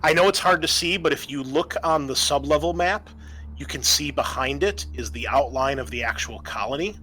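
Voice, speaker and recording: Male game master, bright forward voice, casual rules explainer, some background noise. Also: on the high side, moderate pace, rough recording.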